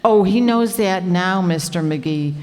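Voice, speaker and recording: Scatterbrained, good-natured female chatterbox for radio comedy, played by a woman, guileless and unhurried, in a reverberant hall. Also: fairly low pitch, a little mellow. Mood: chatty. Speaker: actor in radio comedy play. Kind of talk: old-time radio comedy acting. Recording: echoey hall, live stage performance.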